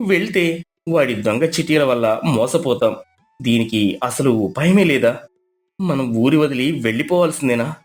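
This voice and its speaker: A poor, naive village husband, played by a man, frets plaintively in a moral tale. His mid-pitched voice rises and falls a lot, with music behind it. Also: animated, moderate pace, lightly acted. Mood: despairing, worried. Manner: plaintive, fretful. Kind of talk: dramatized moral story.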